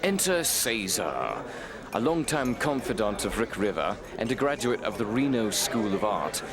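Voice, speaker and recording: Mock-serious male narrator over loud music. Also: lowish voice, big pitch swings, normal speed. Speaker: documentary narrator, voice-over artist. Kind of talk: scripted mock-documentary narration.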